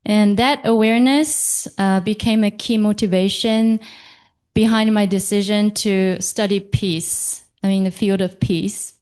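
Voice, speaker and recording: A young woman from Japan, speaking as a panelist at a conference in a slow, halting way, with fillers and self-corrections. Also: mid-range voice, steady tone, darkish tone, clear, resonant tone.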